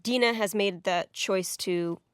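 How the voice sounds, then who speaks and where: A woman's voice: medium pitch, a little slow, clean tone, no echo. Earnest woman giving casual game commentary.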